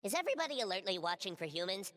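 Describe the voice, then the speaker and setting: A woman's voice: mid-range voice, big pitch swings, rapid-fire, a bit bright. A woman playing a cowardly, chatty little alien soldier in a video game, heard with long reverb. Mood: sheepishly candid. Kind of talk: video game character monologue.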